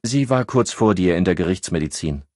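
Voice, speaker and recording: A man voicing a calm, tough woman doctor who answers in short, matter-of-fact lines. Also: low-pitched, a little fast, no room sound, studio quality.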